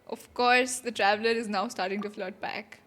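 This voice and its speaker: A woman's voice: mid-range voice, fairly even intonation, normal speed, fairly bright tone. A woman streamer giving casual, wry reaction commentary while playing a game. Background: game music.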